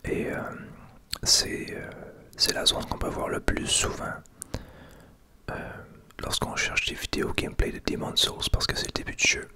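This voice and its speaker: A Québécois man speaks entirely in a soft whisper, with almost no voiced tone. The sound is bright and sibilant. He guides viewers gently and without hurry through a video game, in the style of an ASMR gameplay commentary. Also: moderate pace. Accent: Québécois French. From Quebec.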